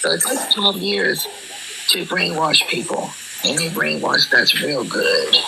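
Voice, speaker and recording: A hoarse woman caller speaks haltingly and fills her pauses with ums. Her low, rough voice crackles with fry. A noisy phone line hisses under her. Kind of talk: call-in talk.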